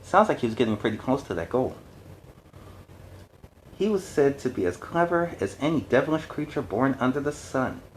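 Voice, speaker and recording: A man with a medium-pitched voice hosts a story reading. He moves between narration and casual, explanatory asides, with faint noise in the recording. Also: very animated, moderate pace, low-quality recording. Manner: conversational, explanatory. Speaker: storyteller, host.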